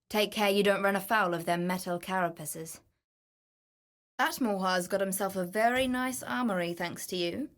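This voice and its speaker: A woman's voice: mid-range voice, fairly bright tone, lightly acted. An actress playing an adventurous, greedy woman treasure hunter in a video game, confident, wry and theatrical. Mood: wry, practical. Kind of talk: video game character voice lines.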